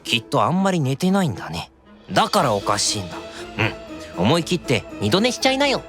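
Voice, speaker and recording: A sleepy young demon brother from an anime audio drama, teasing and coaxing. His pitch swings extremely widely, and music plays clearly behind him. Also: wildly swooping pitch, fast.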